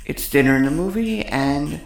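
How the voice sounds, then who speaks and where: A woman's voice: deep voice, animated, moderate pace, gravelly. A woman playing a cocky, sarcastic male electrical technician in a sci-fi comedy audio drama, smug and wordy. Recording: roomy echo.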